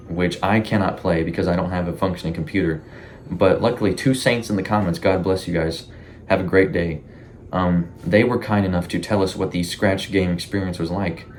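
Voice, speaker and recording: A man giving casual, rambling, informal commentary as a YouTube host, with animated reactions. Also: low voice, slight background noise. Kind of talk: casual commentary on a horror series.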